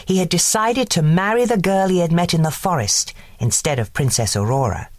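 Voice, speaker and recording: A woman narrating a scripted children's bedtime story in an expressive storytelling manner. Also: on the low side, fairly quick, no echo, studio quality.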